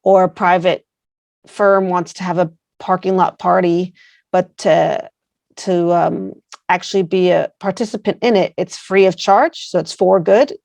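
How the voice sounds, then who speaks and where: Gravelly American woman, earnest guest. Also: lowish voice, fairly even intonation, unhurried, darkish tone, no room sound. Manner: earnest, rambling with fillers. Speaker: guest, placemaking founder. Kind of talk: casual interview answers.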